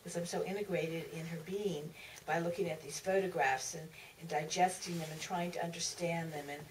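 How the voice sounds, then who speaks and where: Female author on a panel, lowish voice, reflective and halting, heard from off with some room noise. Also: fairly even intonation. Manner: halting, searching for words, repeats starts of phrases. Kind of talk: reflective Q&A answer. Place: theater stage at a public Q&A. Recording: distant mic, faint room noise.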